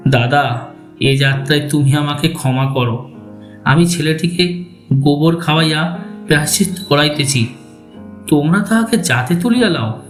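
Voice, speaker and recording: A man's voice: mid-range voice, natural voice. An actor playing a bitter, vengeful older father who tells his tale with sardonic deliberation in a dramatic audio story. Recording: echoey voice over background music.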